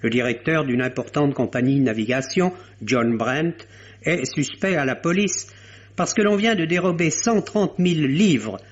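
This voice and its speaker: A man giving a scripted film review on the radio in a measured, articulate and opinionated way. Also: fairly high pitch. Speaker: film critic. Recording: dull, lo-fi vintage radio recording.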